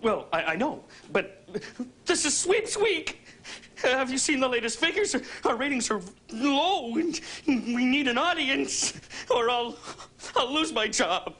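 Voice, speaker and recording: An actor playing a whiny, sensationalist TV news anchor with a melodramatic manner in a mock broadcast. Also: male voice, on the high side, lively intonation, moderate pace, fairly bright tone. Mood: sheepish, whining. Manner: melodramatic, stammering when challenged.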